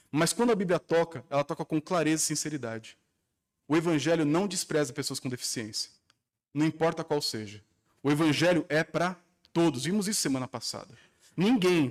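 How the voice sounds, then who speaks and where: Brazilian pastor with a higher-pitched voice. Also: male voice. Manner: earnest, emphatic with rhetorical questions and repetition. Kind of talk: expository sermon. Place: church service.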